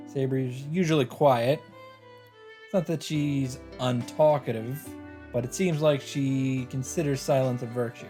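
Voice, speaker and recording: A man's voice: mid-range voice, a little mellow. A male let's play host with a mid-range voice reads visual novel narration aloud. His delivery is casual, with offhand asides, and game music plays behind him.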